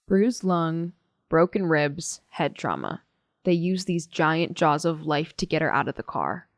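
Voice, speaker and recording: A woman's voice: low voice, big pitch swings, measured pace, no room sound, clean studio sound, exaggerated voice. A young American woman playing a blunt, sarcastic teenage loner in a naturalistic audio drama. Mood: blunt, troubled. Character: blunt, sardonic teenage loner girl, guardedly loyal.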